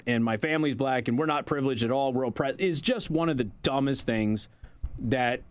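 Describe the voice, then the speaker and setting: A man's voice: medium pitch, no room sound. A man hosting a talk radio show, delivering an opinionated, rambling monologue into a studio microphone with a sarcastic, mocking edge.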